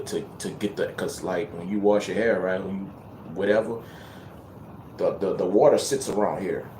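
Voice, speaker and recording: A man's voice: low voice, a little mellow, reverberant, slight background noise, low-quality recording. A man from Louisiana with a light Louisiana accent, chatting casually on a livestream and answering viewer questions in a rambling, laid-back way full of fillers.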